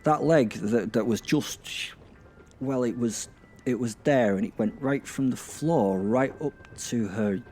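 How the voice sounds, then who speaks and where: A man's voice: mid-range voice, measured pace, dark tone, a little hiss or hum. A British man narrating a first-person audio drama in a bawdy, hesitant, self-interrupting way.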